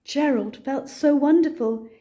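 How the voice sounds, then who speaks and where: A woman's voice: sits high, unhurried, dark tone. An older woman reading a children's picture book aloud as a storyteller, with expression.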